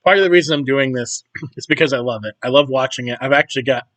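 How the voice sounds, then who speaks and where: A man with a hoarse, worn-out voice talks through a video game session at an ordinary mid pitch. He rambles from one thought to the next and keeps clearing his throat. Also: fast talker, fairly bright tone. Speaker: gaming YouTuber. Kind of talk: casual commentary over gameplay.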